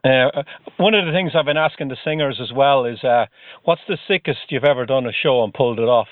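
An Irish man interviewing a guest, asking polite questions with halting fillers. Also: mid-range voice, no echo. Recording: tinny phone-like line.

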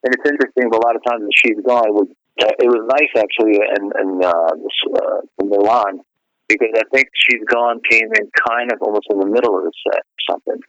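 A middle-aged man talks casually about his singing, rambling and circling back with "you know" after nearly every phrase. His voice sits fairly low. The sound is dry and a little dull, like a lo-fi radio recording. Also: fast, sharp tone, no echo. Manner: rambling, full of "you know" fillers and restarts. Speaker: singer being interviewed. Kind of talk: casual interview chat.

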